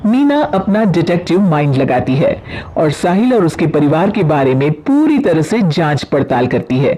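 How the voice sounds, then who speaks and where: A woman's voice: low-pitched, very animated, normal speed, dark tone, slightly rough, poor audio. A woman narrating a scripted story as the storyteller.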